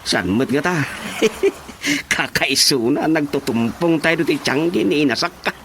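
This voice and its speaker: An actor playing an exclamatory, stammering Filipino husband and drinking buddy in an Ilocano radio comedy drama. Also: male voice, a little high, animated, a little slow, a bit bright, slight background noise. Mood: boisterous.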